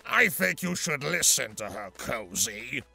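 Gravelly, sardonic centaur villain. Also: male voice, mid-range voice, very animated, unhurried, full character voice. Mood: stern. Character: gruff, grudging male centaur villain, wary and sardonic. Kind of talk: animated-series fan fiction audio drama. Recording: music under the voice.

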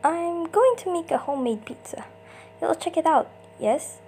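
A woman puts on a cheerful, excitable Italian cartoon man for a comic dub. The voice is high and swings widely as it exclaims eagerly, with faint noise behind it. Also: moderate pace.

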